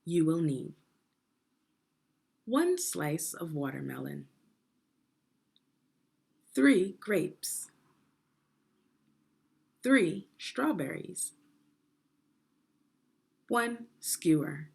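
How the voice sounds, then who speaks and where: A woman reading aloud a children's instructional book like a teacher, phrasing deliberately and putting prompting questions to young readers. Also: on the low side, very animated, creaky, breathy edge. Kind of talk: read-aloud guided reading lesson.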